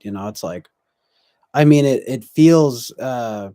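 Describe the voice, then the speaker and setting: A man's voice: medium pitch, takes it slowly, warm tone, no echo, studio quality. A man in his twenties chatting casually on a stream, reflective and rambling, with many fillers and restarts. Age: 20s. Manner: reads viewer comments aloud. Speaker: livestream host.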